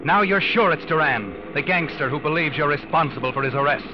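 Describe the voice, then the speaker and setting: An actor playing a sardonic, ominous narrator who taunts a schemer in a 1940s radio suspense drama. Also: male voice, fairly high pitch, animated, fairly quick, noisy background, lightly acted. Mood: tense, ominous. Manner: dramatic, knowing, mocking. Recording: muffled old radio recording with music.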